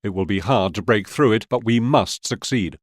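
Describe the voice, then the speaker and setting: Stern Soviet officer male actor, authoritative and measured, lower register, dry studio sound, war game briefings. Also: no echo, lightly acted. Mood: firm, resolute.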